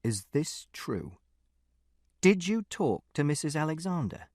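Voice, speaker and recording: Male reader as exasperated angry dad, forceful, slow delivery, widely swinging pitch, dry recording, audiobook drama. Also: big pitch swings, dry recording, full character voice. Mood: quiet anger. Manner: slow, forceful, swearing, swinging from quiet menace to loud. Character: angry, exasperated, foul-mouthed working father.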